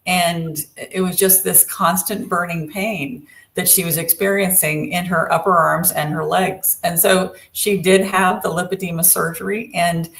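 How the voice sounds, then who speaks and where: Low-voiced woman therapist, steady and measured. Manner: measured, steady, with frequent affirming murmurs. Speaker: lymphedema therapist and co-host. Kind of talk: casual online panel chat.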